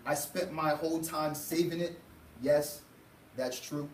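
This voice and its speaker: A man's voice: on the high side, steady tone, a little slow. Man reading a storybook, roomy and distant. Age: young adult. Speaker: student teacher reading to a class. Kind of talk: expressive storybook read-aloud to children. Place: indoor school classroom. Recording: roomy, distant mic, faint noise.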